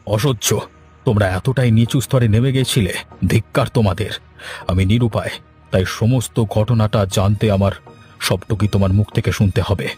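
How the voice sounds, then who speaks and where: A man plays a respected, sensible village gentleman in a cartoon. His voice is lowish and very mellow, measured and admonishing, with music underneath. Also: close to an everyday voice. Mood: shocked disapproval. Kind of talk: Bengali horror cartoon dubbing.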